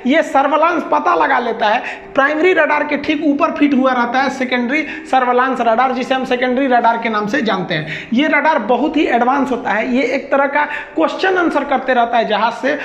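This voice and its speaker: A woman's voice: sits high, moderate pace. A woman with a Bihari accent giving an informal explainer lecture, colloquial and repeating points with everyday examples. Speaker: teacher. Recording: echoey, dull lo-fi audio.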